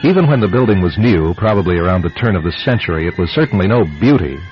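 A man hosting and narrating a scripted radio drama in a dramatic, deliberate storytelling manner. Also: deep voice, lively intonation. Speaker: host and narrator. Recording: muffled old radio broadcast, faint music.

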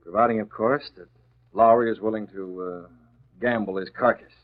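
An actor playing a shrewd, composed newspaper boss in 1940s radio drama, measured and persuasive. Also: male voice, lowish voice, fairly even intonation, close to an everyday voice. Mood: measured, calculating. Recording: tinny vintage radio, phone-like.

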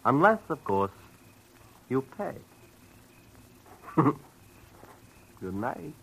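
An actor playing a slick, menacing debt collector in a 1940s radio detective drama, taunting with mock politeness. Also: male voice, medium pitch, sing-song, extremely slow, gravelly, fairly bright tone, breathy edge, heavily acted. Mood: threatening, taunting. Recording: noisy old radio broadcast recording.

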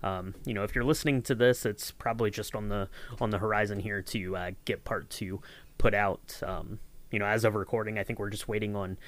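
A man's voice: fairly low pitch, steady tone, a little fast. A man hosting a podcast in casual chat, talking in a rambling, hedging and affable way. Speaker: podcast host and audio editor.

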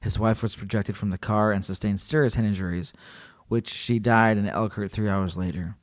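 A man co-hosting a podcast, chatting casually and reading a story aloud, animated and joking. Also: lowish voice, moderate pace. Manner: animated, joking, laughs often. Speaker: podcast co-host.

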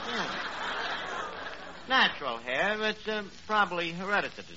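A muffled, noisy 1940s radio recording of a gullible New York barkeep. His voice sits fairly high and moves freely up and down. He talks in rough street grammar with pompous airs. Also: big pitch swings, normal speed. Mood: earnest, proud. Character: gullible, self-important working-class New York barkeep Archie. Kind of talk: 1940s radio comedy acting.

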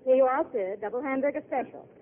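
An actress playing an earnest, outspoken young waitress with big dreams, heard on a tinny old radio broadcast. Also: female voice, high-pitched, quick pace, a little hiss or hum, close to an everyday voice. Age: young adult. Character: dreamy, earnest young woman at a desert diner. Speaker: diner waitress. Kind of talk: 1930s radio drama acting.

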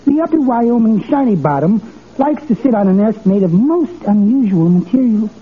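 High-voiced meek little henpecked husband. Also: male voice, lively intonation, moderate pace. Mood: mild, deadpan. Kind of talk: old-time radio comedy acting. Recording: tinny vintage radio, noisy, audience behind.

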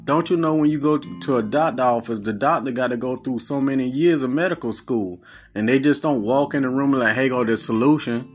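A man's voice: mid-range voice, a little fast, soft background music. A man in his forties with a Southern accent, a podcast host talking in a blunt, profane, earnest monologue. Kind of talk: casual monologue.

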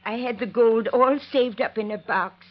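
Woman playing a high-voiced, kindly old ranch lady. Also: normal speed, close to an everyday voice. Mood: distressed, trusting. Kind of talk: old-time radio western acting. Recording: muffled old radio recording, crowded background.

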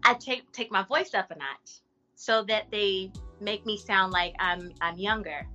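Bright-voiced actress giving frank advice. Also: female voice, a little slow, muffled recording, faint music behind. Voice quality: deep voice for a woman. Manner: frank, animated advice-giving with casual slang. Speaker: actress and artist guest. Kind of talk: casual podcast interview.

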